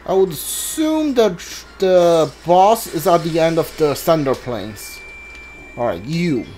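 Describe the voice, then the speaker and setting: A gamer talks through a playthrough in a mid-pitched, very animated voice. The delivery is casual and halting, as if working things out aloud, with faint game music behind. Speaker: video game player. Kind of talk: casual let's play commentary. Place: indoors, voice-over on gameplay. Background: video game music.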